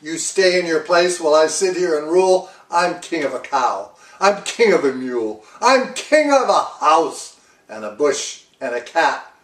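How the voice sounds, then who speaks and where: A man's voice: on the high side, very animated, unhurried, a bit bright, roomy sound, recorded from a distance, slight background noise. Man putting on a pompous, bellowing turtle king. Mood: angry, commanding. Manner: bellowing, grandiose, commanding. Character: pompous, boastful, bullying male turtle king. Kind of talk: theatrical children's storybook read-aloud.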